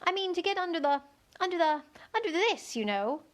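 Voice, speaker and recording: A polite, thoughtful young storybook girl, played by a woman. Her voice is high, and she muses earnestly as if working things out. There is a slight anxious edge to her curiosity. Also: sing-song, fairly quick. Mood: puzzled. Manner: musing, earnest. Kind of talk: children's storybook read-aloud.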